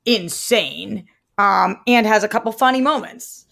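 A woman's voice: medium pitch, a little slow, creaky, fairly bright tone. A woman co-hosting a podcast, chatting casually about films with an emphatic, enthusiastic fan's way of talking. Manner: emphatic, enthusiastic fan talk. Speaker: podcast co-host. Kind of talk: casual film discussion chat.

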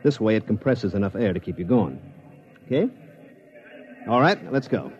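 An actor playing a breezy, fast-talking hustler in 1950s radio drama style, full of streetwise slang. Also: male voice, on the low side, sing-song, a little mellow. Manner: streetwise slang, breezy wise-guy patter. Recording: dull old radio recording.

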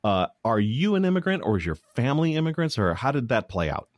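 An American man hosting a casual comedy interview, feeling his way haltingly through rambling questions. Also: medium pitch, sing-song, highs cut off. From United States. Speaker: show host, interviewer.

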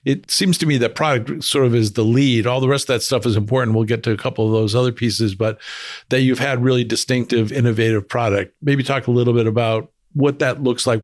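A man's voice: medium pitch, steady tone, fairly quick, dry recording, studio quality. A man hosting a business interview, putting questions in an informal, roundabout conversational way. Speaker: interviewer, host.

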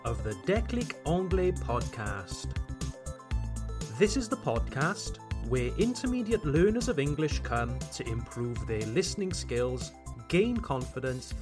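Welsh man with a lively teaching voice. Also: mid-range voice. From Wales. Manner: slow and carefully articulated. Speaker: English teacher and podcast host. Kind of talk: podcast lesson for learners.

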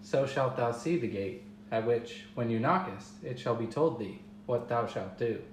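A woman voicing a stern, calm male guide who gives grave instructions in old-fashioned speech. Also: extremely low pitch, a little slow, voice pushed down. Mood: solemn. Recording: roomy with faint hiss.

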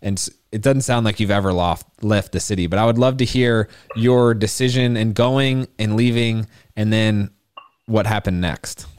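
Young man, podcast host, low voice, dry close sound, friendly measured questions. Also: a little mellow, clear, resonant tone, dry recording. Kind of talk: interview podcast.

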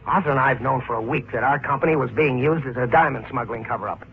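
A thin, slightly noisy old radio recording of an actor as an earnest, suspicious man in a crime drama. His pitch is medium. He delivers his lines in a clipped, emphatic style. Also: fast, phone-quality sound, close to an everyday voice. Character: earnest, suspicious husband wrongly accused of murder. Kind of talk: 1940s-50s radio drama acting.

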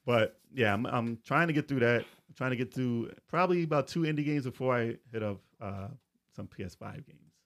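A man's voice: medium pitch, brisk, a bit bright. A man co-hosting a podcast, chatting casually in loose, laughing banter. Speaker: podcast co-host. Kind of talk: casual chat.

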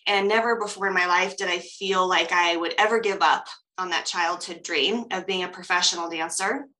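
A woman's voice: on the low side, steady tone, moderate pace, bright, forceful tone, poor audio. An American woman with an American accent, a podcast guest telling her personal story in an interview. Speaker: podcast guest, coach and dancer.

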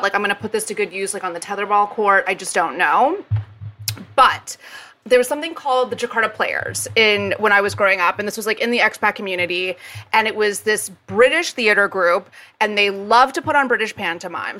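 A woman's voice: mid-range voice, sharp tone. A woman from Indonesia, a comedian and storyteller, telling stories from her childhood in a bubbly, wry, self-deprecating way. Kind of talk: storytelling interview.

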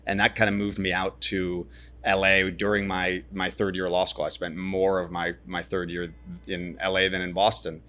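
A man's voice: low voice, sharp tone. A man speaking as an interview guest, thoughtful and often restarting his sentences as he recalls his career. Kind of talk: casual interview.

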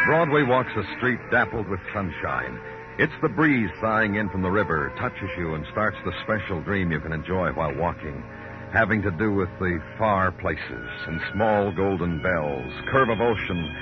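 Low, world-weary radio noir detective. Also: male voice. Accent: American. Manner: clipped, hard-boiled, moody poetic narration. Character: world-weary, terse, brooding male New York police detective. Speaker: lead actor and narrator. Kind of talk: 1950s radio noir acting and narration. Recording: dull old radio recording.

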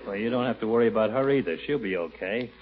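An actor playing a crude, chummy small-town husband who talks ungrammatically, in 1940s radio comedy style. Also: male voice, on the low side, fast, natural voice. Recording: muffled old radio broadcast with audience.

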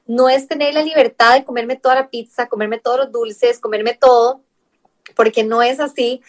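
A young adult woman from Costa Rica with a Costa Rican accent, a nutritionist guest explaining patiently and often tagging sentences with a questioning aside. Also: high-pitched. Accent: Costa Rican Spanish. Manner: explanatory, fills with rhetorical "¿verdad?". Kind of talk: conversational interview.

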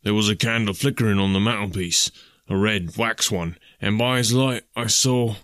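A man's voice: fairly low pitch, moderate pace, fairly bright tone, no room sound, clean studio sound, natural voice. A man voicing a simple, wary police constable from a storybook, grumbling in uneducated dialect phrasing. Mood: recounting.

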